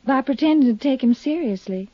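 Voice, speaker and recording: Earnest young radio heroine, dull sound. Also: female voice, sits high, expressive, a little fast, no room sound. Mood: frank, confiding. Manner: earnest, confiding, firm. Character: poised, earnest, grieving young woman, self-assured. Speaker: radio drama actress. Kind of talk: 1950s radio drama acting. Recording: dull, dry 1950s radio recording.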